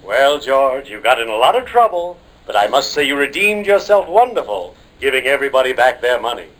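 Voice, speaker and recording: A male voice of conscience, solemn and kindly, moralising gently at medium pitch with very lively intonation. The style is vintage radio comedy. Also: a little fast, poor audio. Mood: solemn approval. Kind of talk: 1950s radio comedy acting.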